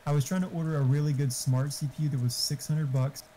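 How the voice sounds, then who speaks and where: Talkative man with a dull, mellow voice. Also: a little high, steady tone, fast. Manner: profane, talkative, explaining tech. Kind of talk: casual chat over online game. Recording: faint background noise.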